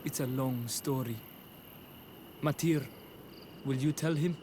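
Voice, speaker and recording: A man plays a young villager in a video game, plain and helpful. His pitch is medium, with a faint layer of noise. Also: takes it slowly, mellow, lightly acted. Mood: helpful, frank. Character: grateful, plain-spoken young male villager. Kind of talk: video game dialogue acting.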